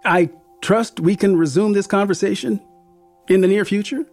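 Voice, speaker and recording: A man's voice: high voice, moderate pace, darkish tone, faint music behind, voice pushed up. Man voicing a polite, formal care home manager. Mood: reassuring. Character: smooth, reassuring male care home manager.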